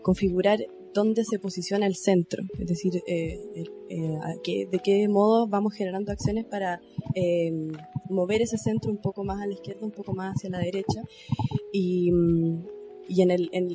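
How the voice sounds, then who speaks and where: Hesitant woman panelist, music behind. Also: medium pitch. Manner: hesitant, searching for words with many fillers. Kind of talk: academic panel discussion.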